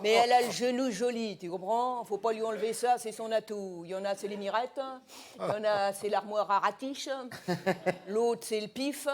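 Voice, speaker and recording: A man's voice: sits high, steady tone, normal speed, lightly acted. A man voicing a brash older woman, a tough-talking tabloid paparazzi boss who mumbles around a cigarette, wisecracking and menacing in old gangster-film slang in a comic mock interview. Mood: mocking, gossipy.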